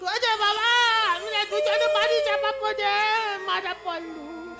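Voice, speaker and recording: Very high-pitched, slow plaintive ghost. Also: female voice, expressive, sharp tone, soft background music, a faint crowd, heavily acted. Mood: tearful, lamenting. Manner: slow, drawn-out, plaintive rustic speech. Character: sorrowful elderly ghost of a poor treasure-seeker. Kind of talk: children's cartoon story acting.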